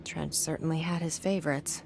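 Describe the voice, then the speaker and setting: A terse guarded young agent. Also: female voice, low voice, fairly even intonation, moderate pace, gravelly. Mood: wry. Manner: terse, level, muttered asides. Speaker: lead character searching for her brother. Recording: echoey game cutscene with faint hiss.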